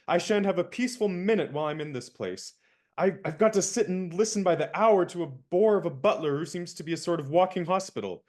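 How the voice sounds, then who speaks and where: A young man acting an indignant valet in a radio play, crisp and lecturing. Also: fairly high pitch, lightly acted.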